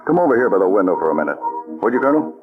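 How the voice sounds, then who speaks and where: Cool calm spy-catcher boss, in control, muffled vintage radio. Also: male voice, on the low side, over music. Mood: consoling. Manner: calm, measured, commanding. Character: calm authoritative male counterspy chief. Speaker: lead agent. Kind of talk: 1940s radio acting. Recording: dull old radio broadcast.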